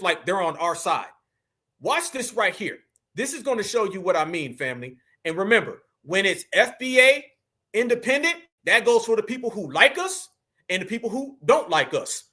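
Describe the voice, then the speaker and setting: Emphatic high-voiced man commenting on clips. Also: big pitch swings, moderate pace, pushed and bright. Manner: emphatic, addresses viewers as family. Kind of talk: casual reaction commentary.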